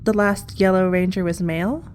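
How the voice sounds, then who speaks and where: A woman's voice: lowish voice, fairly even intonation, normal speed, a little mellow, soft background music, natural voice. A woman playing a wary teenage girl hero in an audio drama, casual and dryly sarcastic. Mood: curious, puzzled. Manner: casual, dry, questioning.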